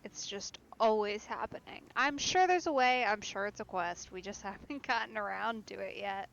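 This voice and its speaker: A woman's voice: medium pitch, normal speed, creaky, fairly bright tone, lo-fi sound. A woman giving casual live commentary as she plays a game, musing aloud and reacting to it. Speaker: let's play streamer.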